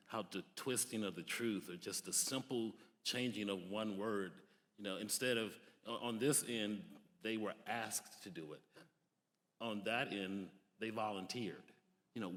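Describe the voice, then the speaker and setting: A young man shares his reflections in a conversational way at a public discussion. His voice is a bit low, carried by the long reverb of a hall. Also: a bit bright. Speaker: divinity student, discussion participant.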